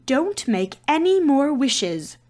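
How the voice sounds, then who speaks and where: A woman's voice: high voice, very animated, takes it slowly, a little mellow, pitched above the performer's own voice, heavily acted. A woman voicing a timid, cautious, earnest talking lion in a storybook, advising in an urgent, fretful way. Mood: cautioning.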